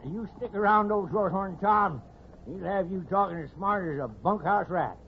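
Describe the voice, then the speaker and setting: An actor playing a jovial, folksy old frontier prospector with a gravelly voice and American Western accent, in radio western style. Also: male voice, high voice, animated, normal speed. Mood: jovial, teasing. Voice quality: creaky, gravelly. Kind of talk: 1950s radio western acting. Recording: muffled, noisy old radio recording.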